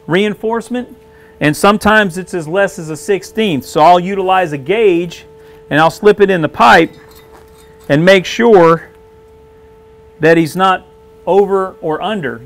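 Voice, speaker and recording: A middle-aged man, a weld test supervisor, explaining a visual weld inspection in a shop and often clearing his throat. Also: high voice. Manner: frequent throat clearing, plain-spoken explaining. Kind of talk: instructional demonstration. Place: indoor welding shop.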